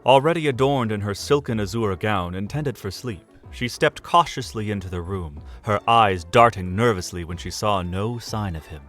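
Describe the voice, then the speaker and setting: A man with a fairly low voice narrates a fantasy audio drama, his pitch rising and falling expressively through the story. Soft music plays beneath his narration. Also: animated, moderate pace, few pauses.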